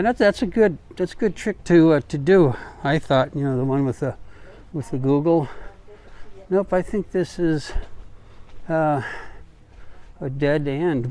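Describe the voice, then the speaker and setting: A man's voice: on the high side, dark tone. A man chatting casually in a rambling, folksy, joking way as a travel vlogger walking along. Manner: folksy, joking, informal mild swearing. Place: outdoors, walking along streets. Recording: outdoor street noise, passing vehicles.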